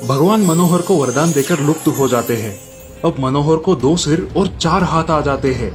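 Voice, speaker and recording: Fairly high-voiced male storyteller. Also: normal speed, over music. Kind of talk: scripted narration of a children's moral tale.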